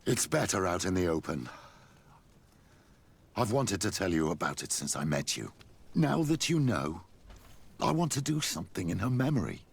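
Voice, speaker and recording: A man's voice: low voice, sing-song, moderate pace, long pauses, full character voice. An actor playing a sly, ambitious old clergyman burdened by guilt, speaking formally and theatrically in a video game. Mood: agitated. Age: older. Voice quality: gravelly, husky. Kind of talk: video game cutscene acting.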